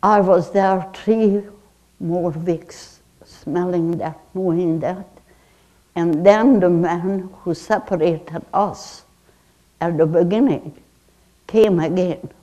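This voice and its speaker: Older Hungarian-accented woman, slow and soft. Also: on the low side, steady tone. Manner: slow, deliberate storytelling with broken English grammar. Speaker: public speaker. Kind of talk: personal testimony talk. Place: indoor lecture room.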